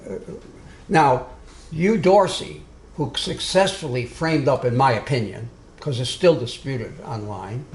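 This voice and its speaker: Loud, rambling man lecturing in a roomy hall. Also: mid-range voice, slight background noise. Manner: loud, digressive, with many restarts and asides. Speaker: speaker giving a history talk. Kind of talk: informal lecture to a small audience. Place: meeting room with a live audience.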